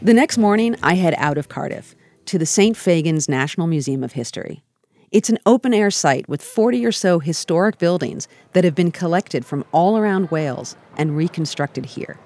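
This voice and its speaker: An American woman giving scripted travel narration in a calm, reflective storytelling manner. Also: lowish voice, moderate pace. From United States.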